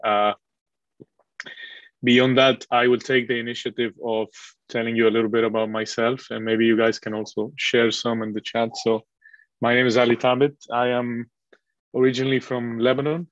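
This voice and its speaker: Man from Colombia, online lecturer, steady flat tone, lots of fillers, dull dry call mic. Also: medium pitch, moderate pace, dry recording. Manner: relaxed, with frequent fillers and restarts. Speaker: guest lecturer. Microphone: video call microphone.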